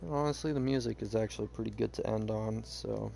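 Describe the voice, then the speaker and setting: A young man chatting casually while he plays a game, rambling with lots of fillers, his voice a bit hoarse. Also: on the low side, brisk, mellow, a little hiss or hum. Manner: rambling, hesitant, many fillers. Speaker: streamer. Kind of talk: casual gameplay chat.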